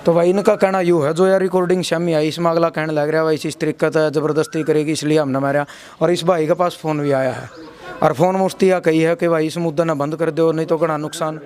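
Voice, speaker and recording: A man's voice: fairly high pitch, level tone, quick pace, a little mellow. A man with a Haryanvi accent working as a reporter, interviewing people and restating their accounts in a repetitive, brotherly way of addressing listeners. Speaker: local reporter interviewing a family. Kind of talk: on-location interview with spoken summaries.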